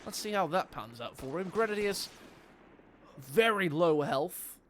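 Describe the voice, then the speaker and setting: A man commentates a strategy game tournament live, and his voice sits noticeably high for a man. He keeps things jokey and chats with his viewers between plays. A faint background noise runs under the commentary. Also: animated, a little slow, a bit bright. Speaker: esports caster.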